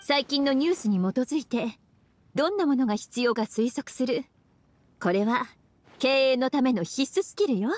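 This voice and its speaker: A woman's voice: high-pitched, expressive, moderate pace, darkish tone, no room sound. Sultry teasing witch librarian. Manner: languid, teasing, calls herself big sister. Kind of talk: Japanese game dub.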